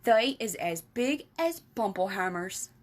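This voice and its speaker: A woman's voice: big pitch swings, slow. A woman reading aloud and voicing a big, kindly giant who mangles his grammar and invents words. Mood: boastful. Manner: jumbled grammar, made-up words, booming. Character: big friendly giant, kindly, muddled speech.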